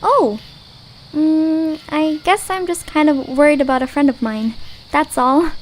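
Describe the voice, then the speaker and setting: A woman's voice: sits high, unhurried, a little hiss or hum, low-quality recording. A woman voicing an earnest, hesitant young girl adventurer in an audio drama. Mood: worried, guilty. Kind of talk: audio drama character acting.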